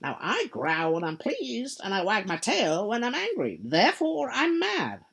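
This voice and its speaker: A woman voicing a mischievous grinning male cat who teases in a high, drawn-out, sing-song way, with a breathy tone. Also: huge pitch swings, a bit bright, full character voice. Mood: teasing.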